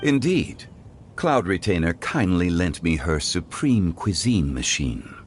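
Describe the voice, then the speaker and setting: An actor playing a calm, scholarly gentleman consultant in a video game, speaking in formal, measured, courtly phrases. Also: deep voice, faint music behind. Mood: composed, wise. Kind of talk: scripted video game character dialogue.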